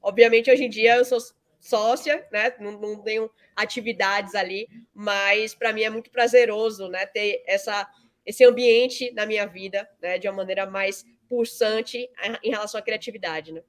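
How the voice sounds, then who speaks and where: A woman's voice: medium pitch, fairly even intonation, moderate pace. A woman, giving conversational, explanatory interview answers peppered with tag questions. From Salvador, Bahia, Brazil. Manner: frequent 'né' tags, explanatory. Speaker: interview guest, fintech founder and CEO.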